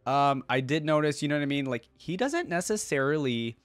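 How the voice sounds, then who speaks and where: A man's voice: mid-range voice, sing-song, a little fast, no echo. A man hosting a reaction video, talking casually and informally in chatty commentary with exclamations.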